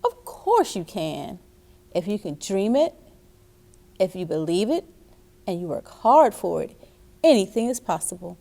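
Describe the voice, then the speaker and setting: A woman's voice: mid-range voice, big pitch swings, a little slow, vocal fry, pauses often, dark tone. A woman voicing a loving mother in a storybook, patient and reassuring. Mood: encouraging. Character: loving, encouraging mother of a little girl.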